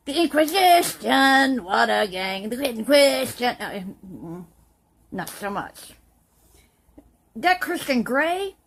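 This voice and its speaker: A woman's voice: high voice, fairly bright tone, exaggerated voice. A woman playing a slurring, foul-mouthed drunk aunt. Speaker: sex health news reporter. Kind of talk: crude comedy news bit.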